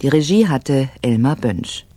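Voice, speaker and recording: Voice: mid-range voice, animated, measured pace, dark tone, no room sound. A radio announcer reading the scripted closing credits of a broadcast drama in a formal style.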